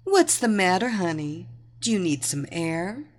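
A woman's voice: fairly low pitch, very animated, a little slow. A woman voicing a cruel, domineering female fighter in a story, mocking, commanding and gloating. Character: domineering blonde female kickboxer, cruel and taunting. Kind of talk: dramatised story reading.

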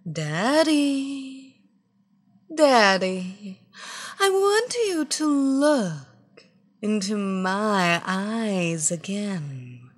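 Woman doing young female hypnotist, storybook reading, very slow, coaxing, commanding, lively rising and falling voice. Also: mid-range voice, full character voice.

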